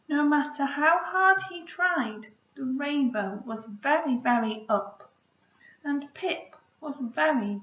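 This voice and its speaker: A woman, a primary school teacher, reading a storybook aloud to children in an expressive way, with hushed asides to her listeners. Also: high voice. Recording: roomy echo, slightly dull sound.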